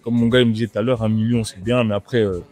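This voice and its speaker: A man's voice: on the low side, steady tone, very fast, a little mellow. Laid-back young man chatting in a restaurant. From France. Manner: laid-back, slangy, repeats himself. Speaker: rapper guest. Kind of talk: casual interview chat. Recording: music playing in the background.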